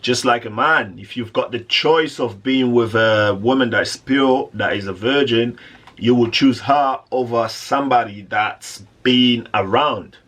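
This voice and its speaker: A man on a podcast panel making his points in a measured, steady way. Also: medium pitch, slight background noise. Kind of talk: calm debate point.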